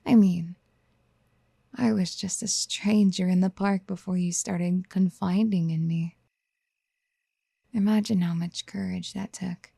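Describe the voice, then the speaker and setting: A woman acting a doting, possessive motherly figure in a soft-spoken ASMR roleplay, soothing with breathy half-whispers. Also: lowish voice, steady tone, a little slow, long pauses, no echo, clean studio sound, exaggerated voice. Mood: gentle encouragement.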